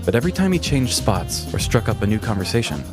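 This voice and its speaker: A man's voice: medium pitch, big pitch swings, quick pace, over music. A man narrating a scripted comedic story in a dramatic storytelling manner. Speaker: narrator and podcast host.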